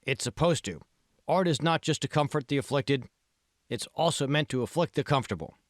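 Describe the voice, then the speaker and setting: A man's voice: medium pitch, animated, brisk, dry recording, studio quality, pitched above the performer's own voice, lightly acted. A man voicing a self-assured, smug billionaire in an audiobook, explaining things and pressing his point. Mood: smooth, self-assured. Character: self-assured male tech billionaire, smug patron of art. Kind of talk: audiobook dialogue.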